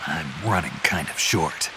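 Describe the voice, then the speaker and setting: A man's voice: very deep voice, measured pace, background noise. A man playing a terse, gritty battle-worn soldier on a jungle mission in a dramatic video game. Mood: subdued, tense.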